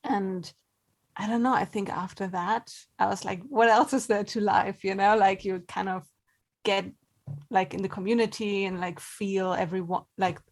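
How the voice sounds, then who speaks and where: A woman in a casual interview as an actor guest, talking conversationally in halting, hesitant phrases. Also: mid-range voice, steady tone.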